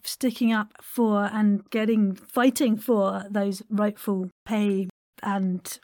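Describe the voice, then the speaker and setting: A woman hosts a casual interview in a close, dry recording. She speaks at a middling pitch and comes across as effusive and encouraging. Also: little pitch movement, slow, a little mellow, no echo. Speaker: interviewer, podcast host.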